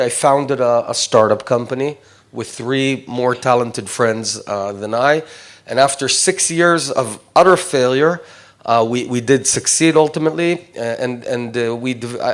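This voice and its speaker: A man in his forties from Israel, a Hebrew speaker talking in English, telling his personal story to a visiting group in a hesitant way with many fillers. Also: mid-range voice. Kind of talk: informal personal talk.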